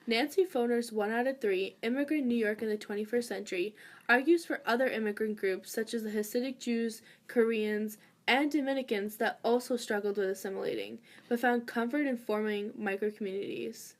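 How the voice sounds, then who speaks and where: A woman's voice: a little high, brisk. A woman narrating a scripted student documentary in a formal read-aloud manner.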